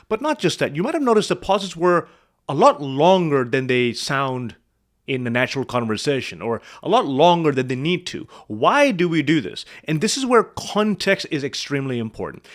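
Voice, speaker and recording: Male speech coach, expressive pitch, direct rhetorical coaching delivery. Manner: animated, asks the viewer rhetorical questions. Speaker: speaking coach. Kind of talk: coaching commentary on a video.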